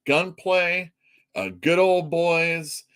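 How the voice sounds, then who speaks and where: A man's voice: a little high, fairly even intonation, drawn-out words, a bit bright, clean tone. A middle-aged man hosting a comic shop show, chatting casually and bluntly, rambling, swearing and laughing at his own jokes. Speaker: comic shop podcast host. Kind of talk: casual opinionated chat.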